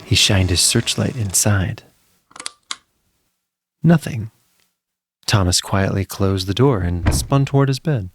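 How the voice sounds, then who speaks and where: A male storyteller with a fairly low voice narrates a children's tale. His intonation swings widely, and his delivery is dramatic and full of suspense. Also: animated, slow pace, long pauses, a little hiss or hum.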